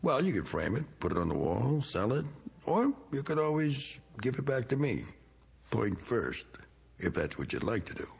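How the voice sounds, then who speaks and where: An actor playing a needling, bitter middle-aged American war veteran with folksy, slangy talk in a vintage TV drama. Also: male voice, mid-range voice, big pitch swings, normal speed, exaggerated voice. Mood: goading. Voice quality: gravelly. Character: needling, bitter male war veteran, rough working man. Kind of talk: 1960s television drama acting.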